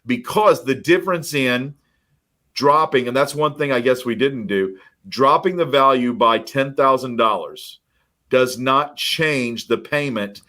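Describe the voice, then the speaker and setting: Energetic male podcast co-host. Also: mid-range voice, a little slow. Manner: energetic, storytelling, emphatic. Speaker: podcast co-host, mortgage professional. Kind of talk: casual chat.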